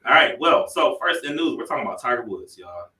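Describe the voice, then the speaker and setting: A man's voice: medium pitch, very animated, fairly quick, sharp tone, echoey room, distant mic. Slangy thirties male podcast host. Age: 30s. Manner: playful, slangy storyteller. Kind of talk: casual chat and celebrity gossip segment.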